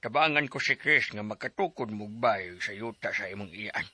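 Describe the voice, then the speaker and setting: A man's voice: on the low side, expressive, normal speed, bright, forceful tone, slightly rough, no room sound, full character voice. An actor playing a joking middle-aged father who teases and reminisces in a radio drama. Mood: teasing. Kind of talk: Cebuano radio drama acting.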